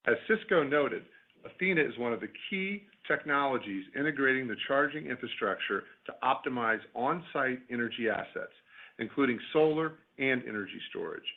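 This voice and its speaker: A man, a company executive, reading a scripted earnings call presentation in a formal, measured corporate way. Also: mid-range voice. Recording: tinny narrowband phone conference line.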